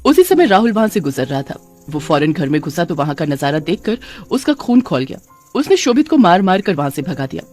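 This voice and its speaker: A woman's voice: fairly low pitch, sing-song, brisk, a little mellow, faint music behind. A woman narrator reading a scripted cartoon story.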